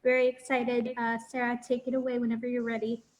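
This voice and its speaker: A woman's voice: fairly high pitch, fast. A woman hosting a virtual conference who introduces the next speaker in a casual way. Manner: casual, relaxed moderating. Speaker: conference host. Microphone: video call. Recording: dull lo-fi video call audio.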